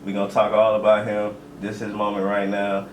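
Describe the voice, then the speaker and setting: A male podcast host at a middle pitch who keeps things loose and joking, full of slang and quick "okay"s. His voice sits a little back from the mic in an echoey room, with a faint hiss underneath. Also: monotone, far from the mic. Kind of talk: casual interview chat. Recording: roomy echo, off-mic, faint noise.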